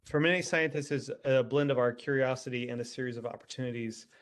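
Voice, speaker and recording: A man's voice: mid-range voice, fast. Man, event moderator, casual, hesitant with fillers.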